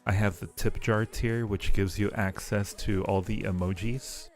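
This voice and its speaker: A man with a low voice and plenty of gravelly fry talks casually to his livestream viewers. His delivery turns halting when he explains things, and faint music plays behind him. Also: steady tone. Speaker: streamer and audio roleplay creator.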